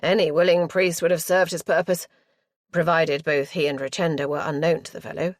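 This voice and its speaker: A woman voicing a brisk, tart married lady of the Regency era who speaks with crisp formality. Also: low voice, little pitch movement, dry recording, clean studio sound, natural voice.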